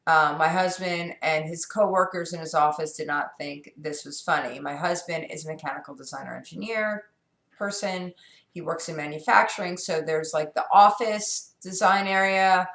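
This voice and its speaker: Middle-aged woman with a scratchy sore throat, lowish voice, rambly vlog talk in an echoey room. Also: fairly bright tone. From New York. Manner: rambling, candid, frequent fillers and restarts. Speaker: vlogger. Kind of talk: casual personal vlog.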